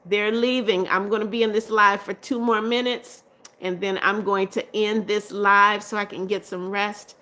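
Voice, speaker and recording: A woman's voice: medium pitch, little pitch movement, moderate pace. A middle-aged woman hosting a casual livestream, reading out viewer comments and answering them. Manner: reads comments aloud and answers viewers warmly, laughs often. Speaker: livestream host.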